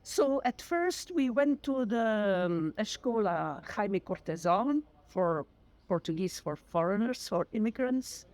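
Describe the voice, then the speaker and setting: A retired teacher in her sixties from Flanders, a Dutch native with a Flemish accent, giving an earnest personal account and often pausing to search for words. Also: medium pitch, lively intonation, darkish tone. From Flanders, Belgium. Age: 60s. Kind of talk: personal testimony to camera.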